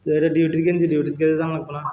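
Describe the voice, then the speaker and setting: A man's voice: fairly high pitch, flat delivery, very fast, a crowd in the background. A middle-aged man, talking casually with his daughter on a phone call in rambling, mumbled replies. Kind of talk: casual family phone chat. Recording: echoey, muffled phone call.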